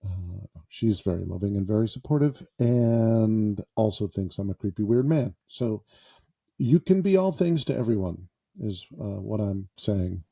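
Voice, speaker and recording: Brief: male podcast host, self-deprecating and jokey, unhurried delivery, very dark tone. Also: on the low side, lively intonation, gravelly, slightly rough. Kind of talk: improvised casual podcast monologue. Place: small closet padded with sound foam.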